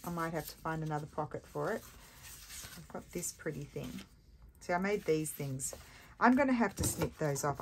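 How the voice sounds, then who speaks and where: A woman with a scratchy voice hosting a casual craft tutorial, chatting and thinking aloud as she works at her table. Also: sits low. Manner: chatty, self-mocking, thinks aloud while working. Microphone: overhead camera on a desk.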